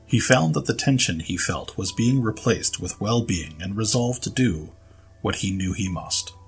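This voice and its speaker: A man narrates a scripted audio drama story. His voice is on the low side, and soft music sits behind the narration. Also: animated, normal speed, vocal fry.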